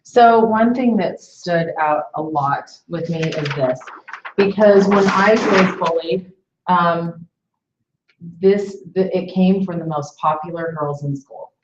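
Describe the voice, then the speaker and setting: A woman's voice: on the low side, takes it slowly, slight background noise. A woman in her early forties chatting casually and reflectively as a webinar host, feeling her way through phrases and checking for agreement. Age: early 40s. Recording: distant, echoey, muffled webinar audio.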